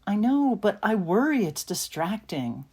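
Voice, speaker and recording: Woman acting as affectionate mother, slow and expressive. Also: medium pitch, husky. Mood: affectionate concern. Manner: affectionate, sympathetic, reacts with drawn-out small phrases. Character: caring worried mother, affectionate on the phone. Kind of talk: audio play acting, one side of phone call.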